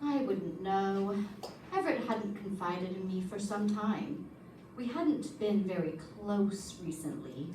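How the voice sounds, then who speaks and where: A woman's voice: medium pitch, animated, slow, dark tone. A woman playing a composed, calculating wealthy widow in an old-time radio detective drama, poised and pointed. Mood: cool, composed. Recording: roomy, distant stage recording, faint noise.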